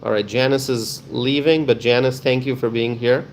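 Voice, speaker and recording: A man's voice: mid-range voice, fairly even intonation, moderate pace, slight background noise. A man with a Bangladeshi accent hosting a casual live stream, chatting with viewers in a friendly, welcoming way and often repeating himself.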